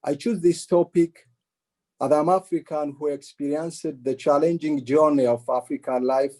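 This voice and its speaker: Formal man from Ethiopia, mellow voice. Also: on the high side, fairly even intonation. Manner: formal, deliberate delivery. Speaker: life coach, presenter. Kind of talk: prepared speech.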